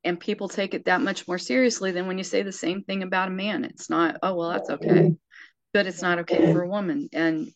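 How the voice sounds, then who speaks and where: Woman, about sixty, emphatic, somewhat low voice, dry and lo-fi. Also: fairly even intonation, fairly quick, no echo. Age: 60s. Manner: earnest, emphatic, with frequent restarts. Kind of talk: casual conversation.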